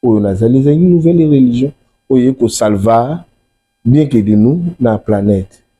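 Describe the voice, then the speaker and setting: Congolese man explaining his faith in an interview, really dark muffled tone, bit husky, repeats himself. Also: mid-range voice, lively intonation, normal speed. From Democratic Republic of the Congo. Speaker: religious messenger, interview guest. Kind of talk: explanatory interview talk, mixing French and Lingala.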